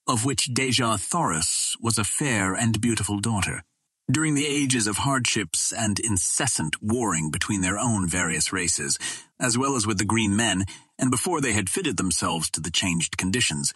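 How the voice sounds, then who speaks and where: A man reading an adventure novel aloud as a scripted narration, in a measured storytelling manner. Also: on the low side, fairly bright tone, breathy edge, no echo. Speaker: audiobook narrator.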